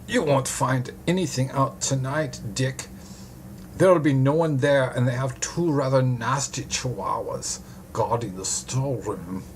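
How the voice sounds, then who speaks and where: A man voicing a measured, cautious doctor of about sixty with a German accent, explaining precisely in an audiobook story. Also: medium pitch, a little hiss or hum, close to an everyday voice. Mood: cautioning.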